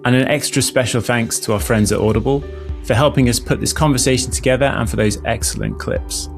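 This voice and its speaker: A man hosting an interview in a polite, measured and slightly hesitant manner. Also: medium pitch, creaky, soft background music. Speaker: host.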